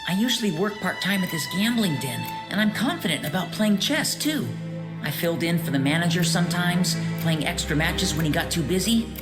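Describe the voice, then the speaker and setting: A woman playing a proud but easily flustered teenage student waiter in an anime audio drama, earnest and expressive. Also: on the low side, a little fast, close to an everyday voice. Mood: worried. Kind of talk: anime audio drama dub with inner monologue. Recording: studio voice over clear background music.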